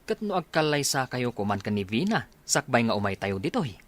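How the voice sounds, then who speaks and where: Man playing an animated, excitable buddy. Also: mid-range voice, a little fast. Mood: excited, teasing. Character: excitable, friendly young buddy working away from home. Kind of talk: radio comedy drama acting.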